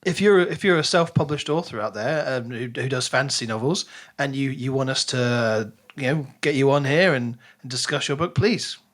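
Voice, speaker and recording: A man's voice: medium pitch, moderate pace, hardly any pauses, fairly bright tone. A man co-hosting a podcast in casual, conversational book chat. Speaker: podcast co-host.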